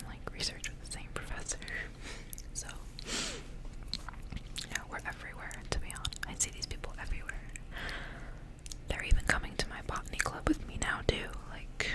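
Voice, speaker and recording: A young woman whispers softly in an ASMR style. Her delivery is breathy and almost entirely unvoiced, kept hushed throughout. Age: young adult. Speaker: ASMR creator. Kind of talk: whispered ASMR, casual rambling and story reading.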